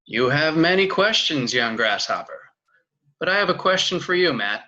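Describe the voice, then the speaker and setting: A man's voice: a little high, big pitch swings, moderate pace, sharp tone, highs cut off. A man acting a wise, solemn kung fu master who speaks in riddles in a sitcom table read. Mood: solemn, teasing.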